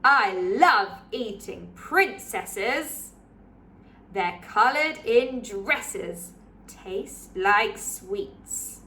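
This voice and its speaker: A woman's voice: mid-range voice, animated, drawn-out words, sharp tone, exaggerated voice. A woman voicing a big, greedy male ogre who grumbles and gloats about eating princesses.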